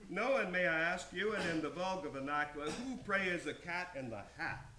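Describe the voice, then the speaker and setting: A man voicing a pompous, genteel gentleman in a story, mannered and oratorical. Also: sits high, brisk. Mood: haughty. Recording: roomy echo, some background noise.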